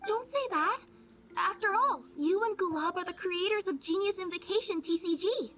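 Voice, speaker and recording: A courteous young delivery girl in a video game. The voice sits extremely high and stays gentle and considerate. There is clear background ambience. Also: normal speed, a little hiss or hum. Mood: gentle, consoling. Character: polite, gentle young female delivery courier.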